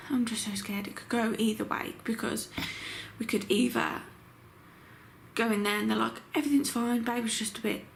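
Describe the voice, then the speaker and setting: A young, heavily pregnant woman chatting casually to her camera at home, full of filler words, her voice croaky. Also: fairly high pitch, steady tone, normal speed, a little hiss or hum, rough recording. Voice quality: croaky morning voice. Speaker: vlogger. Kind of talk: casual vlog chat. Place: home bedroom.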